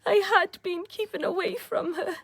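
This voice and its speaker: High-voiced, earnest British wife. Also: female voice, normal speed, a bit bright, no echo. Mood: earnest, remorseful. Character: earnest, passionate doctor's wife with strong convictions. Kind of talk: radio drama acting.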